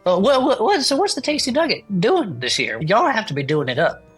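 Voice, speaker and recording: A hearty small-town Southern radio host for an audio drama. His voice is husky and swoops about with folksy, talkative enthusiasm. Music plays behind him. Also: high voice, very animated, fairly quick, a bit bright, heavily acted. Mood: excited, hearty. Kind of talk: improvised in-character audio drama chat show.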